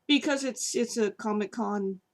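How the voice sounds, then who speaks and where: Woman, hoarse, scratchy, gravelly fry, casual chatty demo at a home table, middling pitch. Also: moderate pace. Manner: chatty, with fillers while working with her hands. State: hoarse, voice partly lost. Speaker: sculptor reviewing clay. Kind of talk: casual demonstration.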